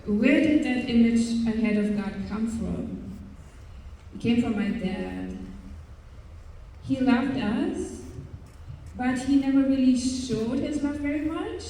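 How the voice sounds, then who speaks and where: A woman with a German accent, speaking in a mellow, somewhat high voice. She gives her testimony earnestly and hesitantly, pausing often. Her voice reaches the mic from a distance in a large hall with long reverb. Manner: earnest, hesitant, with frequent pauses and fillers. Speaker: religious sister giving a testimony. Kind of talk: personal faith testimony to young people. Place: large hall at a youth event. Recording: echoey hall, voice from far off.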